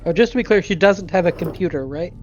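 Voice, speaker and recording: A man playing a tabletop roleplay game, improvising in-character talk in a halting way and often rephrasing himself. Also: high-pitched, darkish tone. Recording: dull muffled audio, music behind.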